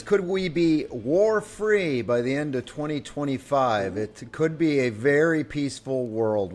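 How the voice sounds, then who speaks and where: A man co-hosting a podcast, talking casually, enthusiastic and rambling. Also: medium pitch. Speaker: podcast co-host.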